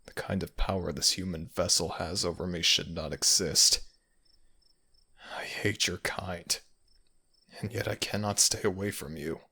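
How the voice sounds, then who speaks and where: A brooding kitsune spirit, acted by a man, possessive and protective. The voice is very low and crackles with gravel, yet keeps a crisp forceful edge. The speech is intimate and archaic, made for romantic ASMR roleplay. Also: fairly even intonation, measured pace, pauses often, heavily acted. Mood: brooding, longing. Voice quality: gravelly, breathy at times.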